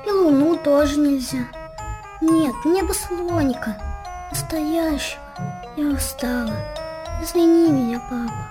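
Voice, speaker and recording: A woman's voice: high-pitched, measured pace. A woman playing a wistful, stubborn, sick six-year-old girl in a radio play, pleading in a childlike, weary way. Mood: weary, insistent. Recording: tinkling music under the voice.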